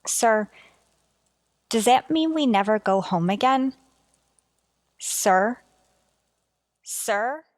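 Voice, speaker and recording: A woman playing a dutiful, earnest starship officer in a radio sci-fi drama, deferential and deliberate. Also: a little high, animated, dry recording. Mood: subdued, uncertain. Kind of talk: golden age radio sci-fi drama acting.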